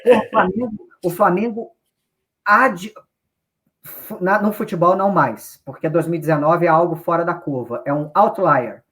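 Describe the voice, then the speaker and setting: A man's voice: high voice, moderate pace, a bit bright. Brazilian man, football guest, blunt opinions, casual swearing, stumbling restarts. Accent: Brazilian Portuguese.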